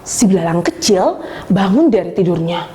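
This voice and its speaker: A woman telling a children's story, narrating in a slow, expressive, sing-song way. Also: lowish voice, background noise.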